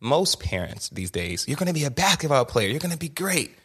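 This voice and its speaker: A man's voice: on the high side, big pitch swings, fairly quick, a bit bright, breathy edge. A young man hosting a podcast, telling his story in a candid, emphatic way and often restarting and repeating words. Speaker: podcast host.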